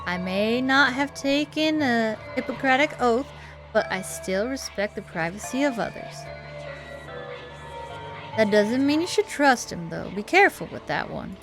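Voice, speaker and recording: A woman voicing a guarded local woman in a visual novel game, measured and wry. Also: on the high side, animated, music in the background.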